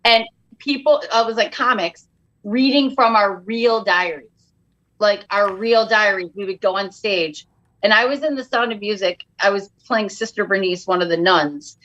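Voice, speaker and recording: A woman's voice: mid-range voice, normal speed, fairly bright tone, lo-fi sound. A woman comedian chatting as a podcast guest, telling stories in a dramatic, self-mocking way. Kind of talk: animated comic storytelling and chat.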